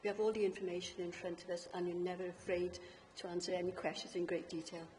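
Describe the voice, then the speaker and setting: A woman councillor speaking in a council chamber, appreciative and quick. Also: on the low side, little pitch movement, darkish tone. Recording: long chamber reverb, faint hum.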